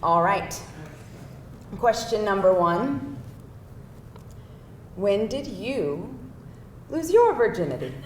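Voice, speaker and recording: Actress doing a feisty candid girl on a date, lively up n down voice, kinda hesitant, echoey and off mic. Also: medium pitch, takes it slowly, pauses often. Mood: candid, tentative. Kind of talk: live screenplay table read. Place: indoor room before a live audience. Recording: echoey room, distant mic, faint noise.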